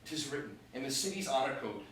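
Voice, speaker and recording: A man's voice: medium pitch, quick pace, fairly bright tone, close to an everyday voice. A man playing an earnest military adviser in a staged verse play, speaking formally and urgently. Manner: formal, declamatory verse delivery. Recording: very echoey room, distant mic, hiss.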